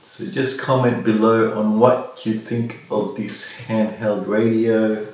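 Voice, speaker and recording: A middle-aged man with a gravelly voice, casually showing and reviewing a product at a table in a bare room, picked up by a camera a few metres away. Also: lowish voice, steady tone, slow, darkish tone. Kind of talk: casual unboxing demonstration. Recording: very echoey room, distant mic, faint noise.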